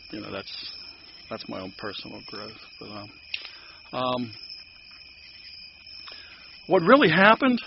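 An older man with a Pittsburgh accent sharing his story at a recovery meeting, rambling and halting with many fillers and restarts. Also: medium pitch. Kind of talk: personal sharing at a support meeting. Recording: dull lo-fi recording with background noise.

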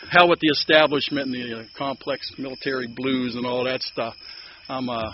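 An older man with a Pittsburgh accent sharing his story at a recovery meeting, rambling and halting with many fillers and restarts. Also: medium pitch. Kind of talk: personal sharing at a support meeting. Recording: dull lo-fi recording with background noise.

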